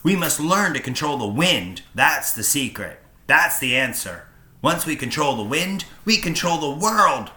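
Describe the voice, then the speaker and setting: Brief: high, bright, theatrical young airship pilot, voiced by a man, echoey room. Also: unhurried. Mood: fervent. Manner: theatrical, wailing, grandly enthusiastic. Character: young excitable male Civil War balloon pilot.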